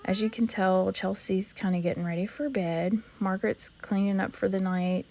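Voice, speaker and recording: A woman with a hoarse voice calmly narrating a life-simulation game as host of a let's play. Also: mid-range voice, normal speed. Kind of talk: gameplay narration.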